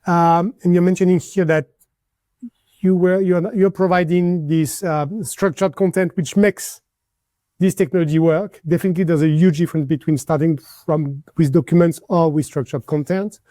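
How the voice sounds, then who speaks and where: This is a man on a conference panel with a high but mellow voice. He asks his questions in a searching, hedging way. The recording is dry and close. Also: fairly even intonation, moderate pace, no echo. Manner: inquisitive, hedging, searching for words.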